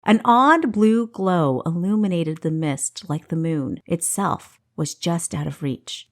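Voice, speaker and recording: Woman narrating fiction, slow and expressive. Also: fairly low pitch. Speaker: audiobook narrator. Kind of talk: scripted fantasy story narration.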